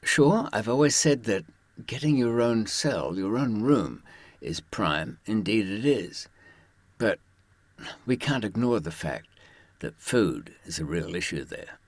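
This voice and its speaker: Male raconteur, very low and rough-edged husky voice, dry close sound, wry and understated, sharing hard-won advice informally. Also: expressive, takes it slowly, no echo. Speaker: author and former prisoner giving advice. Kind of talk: informal first-person storytelling to listeners.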